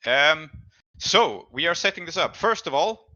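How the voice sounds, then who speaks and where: A man running a tabletop role-playing game, improvising scene descriptions and rules calls in a halting, thinking-aloud way. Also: on the high side, big pitch swings, bright, forceful tone, dry recording, dull audio.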